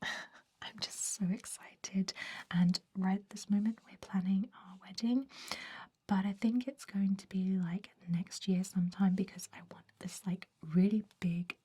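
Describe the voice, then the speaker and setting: A woman's voice: medium pitch, steady tone, unhurried, mellow. Woman as a gushing, whispering vampire chatterbox. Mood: excited, gushing. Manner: breathy, gushing, girlish filler-heavy chatter. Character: gushing, chatty, bride-to-be female vampire bank worker. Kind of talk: whispered ASMR roleplay.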